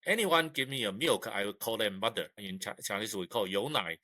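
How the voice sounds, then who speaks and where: An older man, speaking English as an in a halting interview style full of restarts, on a video call. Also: lowish voice, expressive, pushed and bright, no echo. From Taiwan. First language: Mandarin. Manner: halting, repeats words, many uh fillers. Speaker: guest, retired engineer. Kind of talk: casual interview. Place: indoor room.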